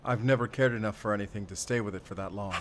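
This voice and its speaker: A man playing a battle-worn, calm and wry werewolf hunter in an audio roleplay, speaking deliberately in short, paused phrases with quiet tenderness. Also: lowish voice, very noisy, close to an everyday voice. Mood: pensive, tender. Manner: measured, deliberate, pausing between short phrases. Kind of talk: cinematic audio roleplay acting.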